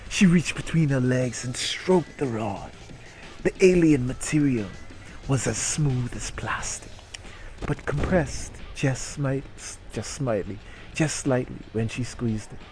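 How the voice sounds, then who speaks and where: A man reads a story aloud as a dramatic performance, with theatrical narration played for comedy. His pace is slow and deliberate, and music plays behind him. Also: medium pitch, a little mellow, rough recording. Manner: theatrical narration breaking into incredulous asides and giggles. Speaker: reader performing a dramatic reading. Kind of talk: comic dramatic reading of an erotic short story.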